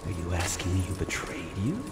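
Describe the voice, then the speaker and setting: A man's voice: sits low, animated, brisk, noisy background. A man playing a smug, cruel villain commander in a video game, pompous and mocking in a theatrical way. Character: smug, cruel male villain commander taunting beaten rebels. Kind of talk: dramatic video game voice acting. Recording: echoey hall reverb under loud music.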